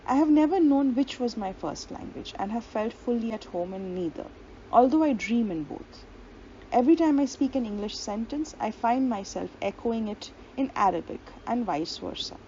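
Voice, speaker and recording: A woman's voice: fairly high pitch, animated, normal speed, dark tone. A woman giving an explanatory lecture who reads long quotations aloud and sometimes stops on a filler or restarts a phrase. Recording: dull lo-fi audio with faint hiss.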